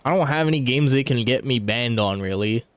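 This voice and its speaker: A man's voice: medium pitch, fairly quick, slight background noise. A man chatting casually and offhand in slangy speech while playing a game. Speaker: gaming streamer. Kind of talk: casual chat over gameplay.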